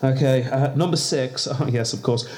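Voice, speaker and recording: A man's voice: mid-range voice, moderate pace, a little mellow, lightly acted. A man playing a weary male official who scolds a diplomat, talking in a hesitant, stammering, exasperated way. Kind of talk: comedy sketch acting.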